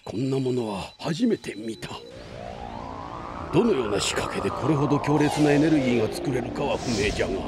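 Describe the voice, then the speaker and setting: A man's voice: medium pitch, natural voice. A man voicing an elderly cartoon scientist, kindly but worried, explaining things in old-fashioned old-man speech. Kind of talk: anime voice acting.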